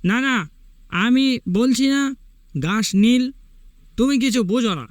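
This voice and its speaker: A man voicing a stubborn, argumentative donkey in a cartoon fable, loud and insistent. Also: very high voice, lively intonation, slow pace, no echo, clean studio sound, pitched above the performer's own voice, heavily acted. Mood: defiant. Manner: shouting, insistent.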